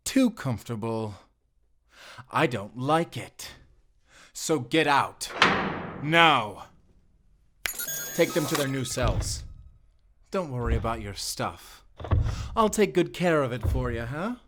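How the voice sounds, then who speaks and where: A man playing a smug prison warden in an audio drama, taunting inmates with slow, mock-kind menace. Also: medium pitch, sing-song, background noise. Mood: cold, gloating.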